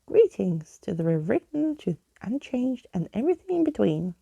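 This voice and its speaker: A woman's voice: medium pitch, very animated, moderate pace, gravelly, dark tone, breathy edge, no room sound, full character voice. A woman voicing a bold, theatrical skeleton man in a storybook, grandly spoken and playful. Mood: grandly mocking. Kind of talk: storybook character in ASMR reading.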